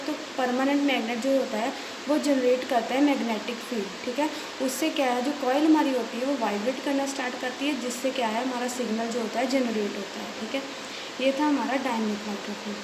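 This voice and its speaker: A woman's voice: on the high side, fairly quick. A woman with an Indian accent giving a lecture as an electronics teacher, reading out notes and explaining them, often checking in with short tags. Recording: echoey room with hiss and noise.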